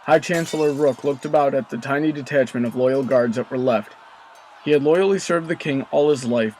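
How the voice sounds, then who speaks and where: A man's voice: medium pitch, darkish tone, a little hiss or hum, rough recording. A man reading scripted story narration in a dramatic storytelling style.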